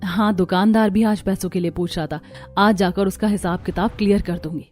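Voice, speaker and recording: A gentle young cartoon mother played by a woman. Her voice is warm and mellow at a middling pitch. She sounds soothing and caring, with light music behind. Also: steady tone, brisk, close to an everyday voice. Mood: reassuring. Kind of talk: cartoon story acting.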